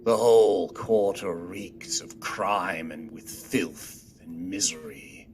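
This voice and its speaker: A man playing the solemn narrator of a ghost tale in a radio play, grave and deliberate. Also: low-pitched, huge pitch swings, creaky, slight background noise, exaggerated voice. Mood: grave, dramatic. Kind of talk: dramatic scripted narration.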